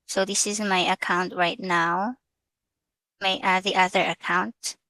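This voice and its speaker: A Filipina woman with a Filipino accent talks slowly and hesitantly through an online tutorial, mixing English with Tagalog. Her voice is bright and forward, and it sounds close and dry, with no room around it. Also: on the low side, little pitch movement, dry recording, rough recording. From Philippines. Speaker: vlogger. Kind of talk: casual screen-share tutorial.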